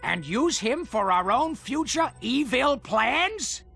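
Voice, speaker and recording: A man's voice: extremely high pitch, big pitch swings, takes it slowly, vocal fry, sharp tone, slightly rough, soft background music, exaggerated voice. A man voicing a sardonic, gleefully evil schemer in a cartoon game, sly and theatrical. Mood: sly, scheming.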